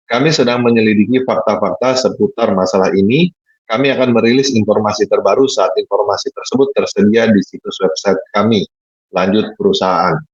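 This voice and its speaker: A man's voice: fairly low pitch, husky, dry recording, highs cut off. A man narrating a scripted news report.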